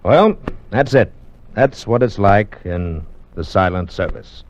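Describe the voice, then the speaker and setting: Confident folksy navy narrator, lowish voice, old radio drama, dull lo-fi sound. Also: male voice, lively intonation, takes it slowly, loud music behind the voice. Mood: assured. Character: confident, easygoing male navy narrator explaining submarine service. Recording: dull lo-fi vintage radio recording.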